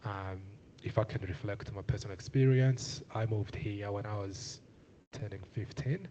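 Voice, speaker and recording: A man's voice: on the low side, moderate pace, mellow, a little hiss or hum, poor audio. A young man from Zimbabwe speaking on a panel, earnest and hesitant with many filler sounds. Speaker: community panelist. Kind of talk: panel talk.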